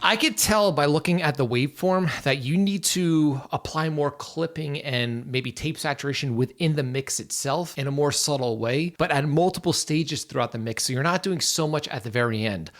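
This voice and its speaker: A man's voice: a little high, normal speed, few pauses. A man reviewing subscriber mixes, giving frank but encouraging feedback between listening to the tracks. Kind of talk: casual critique while listening to tracks.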